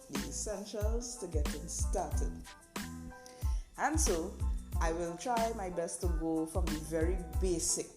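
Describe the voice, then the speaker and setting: A Trinidadian woman hosting a podcast, explaining things in an informal, conversational way with frequent checking tags like "right" and "you know". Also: on the low side. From Trinidad and Tobago. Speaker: podcast host. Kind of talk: informal instructional podcast monologue. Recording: roomy echo, music behind the voice.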